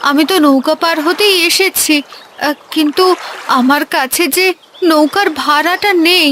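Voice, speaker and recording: Woman playing a high-voiced earnest village woman. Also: measured pace, fairly bright tone, slight background noise. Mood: earnest, grateful. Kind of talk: cartoon story dialogue.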